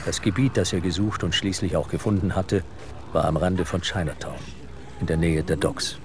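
A man narrating an audio drama in a measured, suspenseful, literary style. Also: sits low, fairly even intonation, background noise.

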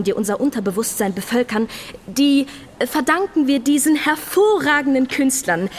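A woman's voice: fairly high pitch, natural voice. A woman playing an ironic museum guide, presenting to an audience in a mock-earnest theatrical monologue. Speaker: stage performer. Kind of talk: theatrical monologue in a satirical revue.

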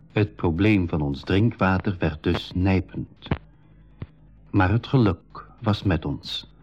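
Inquisitive young adventurer, fairly low voice, clear storytelling for a school radio serial, dull recording with music. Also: male voice, a little mellow. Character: Piet, curious young boy adventurer, Marleen's brother, narrating. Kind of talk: 1980s school radio drama, narration and dialogue.

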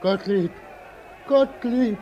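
An actor playing a stubborn, devout old weaver in a radio drama, solemn and unyielding. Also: male voice, sits high, drawn-out words, mellow, lo-fi sound, slight background noise. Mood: anguished. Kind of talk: German radio drama acting.

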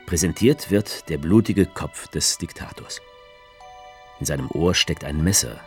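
A man's voice: sits low, measured pace, darkish tone. An actor playing a grim male writer, narrating a radio drama in a stark, incantatory recitation. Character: grim male writer recounting a staged war. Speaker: author character narrating. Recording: music under the voice.

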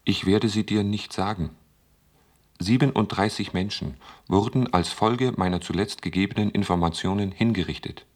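An actor playing a calm, stubborn machine intelligence with a conscience, speaking slowly and deliberately in a radio play. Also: male voice, on the low side, lightly acted. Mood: calmly defiant. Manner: slow, measured, deliberate. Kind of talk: German radio play acting.

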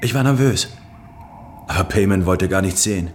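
Ambient noise sits behind a low-pitched man played by an actor narrating in a radio drama. He comes across as a slightly uneasy office employee. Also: normal speed, lightly acted. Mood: reflective, uneasy. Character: nervous male employee narrating a meeting with his boss. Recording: clear background noise, ambience.

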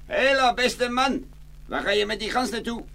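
A man's voice: extremely high pitch, lively intonation, moderate pace, creaky, sharp tone, slight background noise, exaggerated voice. A man playing a cheerful, naive farmer in a children's fairy-tale audio play, chatty and hearty, full of exclamations. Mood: eager, friendly. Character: cheerful, naive farmer, contentedly bartering away his goods.

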